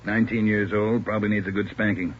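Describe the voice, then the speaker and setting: An actor playing a gruff, demanding male chief of detectives with a commanding manner in a 1950s radio drama. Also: fairly low pitch, level tone, quick pace, lightly acted. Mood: brusque. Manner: brusque, commanding. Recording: dull, noisy vintage radio recording.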